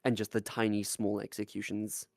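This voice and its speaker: A podcast co-host chatting casually in an animated, jokey way and swearing freely. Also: deep voice, normal speed, vocal fry, no echo, studio quality. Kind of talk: casual chat.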